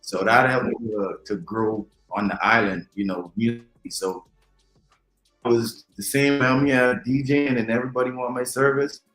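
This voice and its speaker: A man from the Bahamas, a guest talking unhurriedly about his music, with many ums and pauses. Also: mid-range voice, steady tone, fairly bright tone. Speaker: DJ and drummer, interview guest. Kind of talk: relaxed conversational interview. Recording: echoey room.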